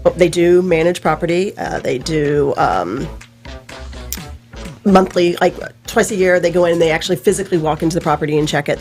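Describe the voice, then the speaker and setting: A woman with a somewhat low voice explains things as a radio guest. She is direct and folksy, with music faintly behind her. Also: a little mellow. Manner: plain-spoken, folksy, direct. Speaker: real estate guest.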